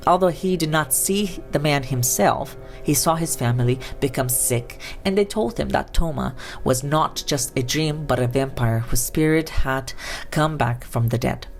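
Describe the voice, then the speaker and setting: A woman narrates a documentary from a script, her voice sitting low in pitch. Her delivery is measured and even, with faint music playing softly behind her. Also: gravelly. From Romania. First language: Romanian.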